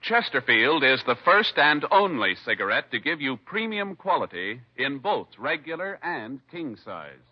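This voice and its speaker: A man's voice: medium pitch, breathy edge. An American man announcing a 1950s radio commercial in an emphatic, formal, salesmanlike style. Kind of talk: 1950s radio advertising read. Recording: muffled vintage radio recording.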